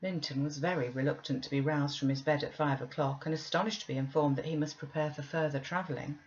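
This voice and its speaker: Casting: female audiobook narrator for classic fiction, deep register, mildly lo-fi recording. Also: fairly even intonation, fast, a little hiss or hum. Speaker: audiobook reader. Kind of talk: scripted literary narration.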